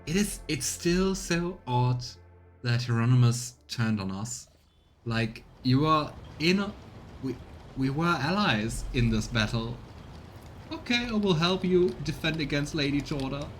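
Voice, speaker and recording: A man's voice: on the high side, animated, measured pace, slight background noise. A man giving casual let's play commentary, musing aloud and reading game text out as he streams. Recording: game music behind. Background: video game music.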